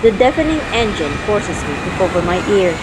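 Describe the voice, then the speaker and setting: A woman's voice: medium pitch, very animated, fairly quick, very noisy, close to an everyday voice. A young woman playing a spirited, teasing childhood friend in an audio drama, telling the story in her own words and acting out playful banter. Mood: calm narrating. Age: young adult. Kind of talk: audio drama narration and dialogue. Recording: music playing behind the voice.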